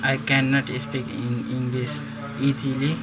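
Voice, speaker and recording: A young man, a native Nepali speaker, talking through a phone repair in halting English. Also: mid-range voice, monotone. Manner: halting non-native English, step-by-step instructions. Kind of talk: repair tutorial. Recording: loud background noise.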